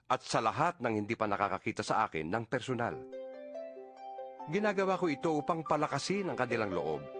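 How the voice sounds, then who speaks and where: A man's voice: medium pitch, fairly bright tone, no room sound. A man with a Filipino accent giving a solemn, earnest, declamatory reading of scripture as an audio Bible narrator. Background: background music.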